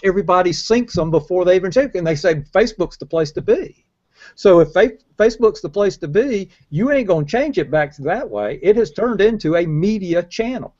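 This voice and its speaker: An older man with a fairly high voice debates in a casual panel. He speaks emphatically, with halting restarts, over a dull video call connection. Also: a little mellow. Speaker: panelist in a marketing discussion. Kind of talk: casual argumentative panel chat. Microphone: earbud headset.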